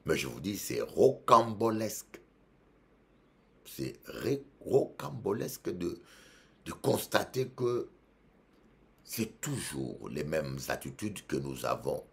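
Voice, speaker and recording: A Congolese-born bishop preaching in French with a Congolese accent, emphatic, repeating phrases and shifting between loud exhortation and quiet asides. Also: male voice, sits low, very animated, slow, creaky, long pauses. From Democratic Republic of the Congo. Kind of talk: preaching sermon.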